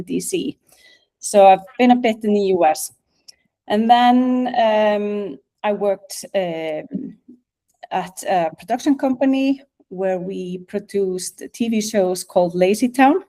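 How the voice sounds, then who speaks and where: Mellow middle-aged Icelandic woman, hesitant talker. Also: mid-range voice. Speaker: guest speaker, national music office director. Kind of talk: informal online presentation. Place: video call.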